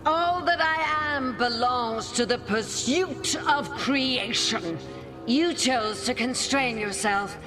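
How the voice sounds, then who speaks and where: A high-voiced, villainous elven goddess in a fantasy game. She is proud and obsessed, speaking very slowly and grandiosely over loud music. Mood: haughty. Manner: grandiose, deliberate. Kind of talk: dramatic video game villain acting.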